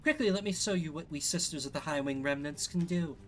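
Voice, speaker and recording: A man's voice: on the high side, fairly quick, fairly bright tone, faint music behind, lightly acted. Man putting on a sly, flirty harpy woman. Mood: silky. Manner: teasing, saying darling.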